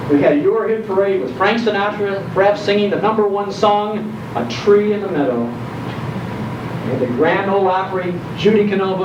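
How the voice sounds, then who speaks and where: An older man giving a nostalgic talk to a live audience, telling it in an animated way and repeating phrases for emphasis. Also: sits high. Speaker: speaker on old-time radio history. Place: indoor program venue, recorded from afar. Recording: dull, distant, echoey hall recording, loud noise.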